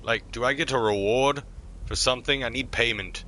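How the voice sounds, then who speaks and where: A man's voice: mid-range voice, moderate pace, sharp tone, slight background noise. A man live-streaming a fantasy game, improvising blunt, irritable in-character remarks and asides.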